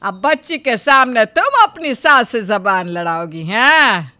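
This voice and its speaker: A woman voicing a bossy, sharp-tongued older mother-in-law who scolds and commands her family. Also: a little high, sing-song, takes it slowly, a little hiss or hum, exaggerated voice. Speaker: voice actor. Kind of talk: Hindi storybook drama acting.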